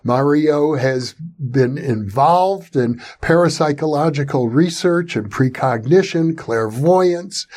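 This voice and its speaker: A man hosting an interview, introducing his guest in a measured, formal way. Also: on the high side, darkish tone. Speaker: host, interviewer.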